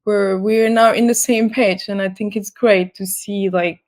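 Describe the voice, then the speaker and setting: A young woman, a model being interviewed in a casual talk, speaking haltingly with many fillers and restarts. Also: medium pitch, fairly even intonation, darkish tone. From Senegal. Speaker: guest, model.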